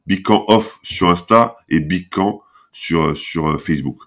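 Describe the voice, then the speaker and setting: A man's voice: sits low, measured pace, a bit bright. A talkative man with a head cold, chatting casually as a podcast guest, rambling and restarting his sentences. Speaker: podcast guest, basketball camp founder. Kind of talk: casual interview talk.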